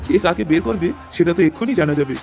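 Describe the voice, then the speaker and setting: A threatening cartoon kidnapper, voiced by a woman in a deep man's voice. He talks quickly and sneers at his victims. Faint music plays underneath. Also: expressive, darkish tone. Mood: menacing. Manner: gloating, threatening. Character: menacing male thug kidnapper. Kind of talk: cartoon voice acting.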